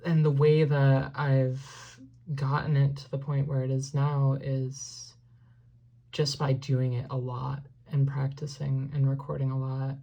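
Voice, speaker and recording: A woman's voice: a bass voice, completely flat delivery, a little slow, gravelly, dark tone. A woman musician casually explaining how she produces her vocals, rambling with many fillers and apologizing for not making sense. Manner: rambling, self-deprecating, lots of "like" and "um". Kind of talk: casual tutorial.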